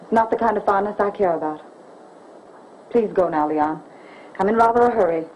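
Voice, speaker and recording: A woman's voice: mid-range voice, brisk, dull audio, natural voice. An actress playing a poised, refined young woman under suspicion in a 1930s film. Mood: cold. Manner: refined, cool. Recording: old film soundtrack with faint hiss.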